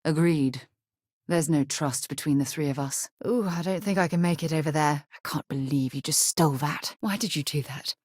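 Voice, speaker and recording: An actress playing a composed, principled spaceship explorer in a video game, caring but quick to reproach, speaking in an earnest, articulate and expressive way. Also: female voice, sits low, slightly rough, dry recording, studio quality. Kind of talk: video game character voice lines.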